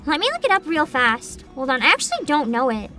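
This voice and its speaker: A young woman hosting a livestream, chatting casually with an excitable, giggly, informal manner. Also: high-pitched, a little fast. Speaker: livestream host, vtuber. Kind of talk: casual livestream chat and reactions. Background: background music.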